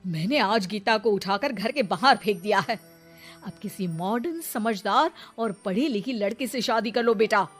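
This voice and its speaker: A woman's voice: medium pitch, animated, normal speed, natural voice. Woman playing a bossy, snobbish mother-in-law over music. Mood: pleased, boastful. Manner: scolding, haughty, sprinkles English words. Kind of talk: animated moral story acting.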